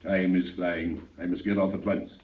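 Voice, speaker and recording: An actor playing an imperious, scornful anarchist president with pompous, sarcastic courtesy, in 1930s radio drama style. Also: male voice, low-pitched, little pitch movement, normal speed, distant mic, close to an everyday voice. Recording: muffled, phone-like old 1930s radio recording.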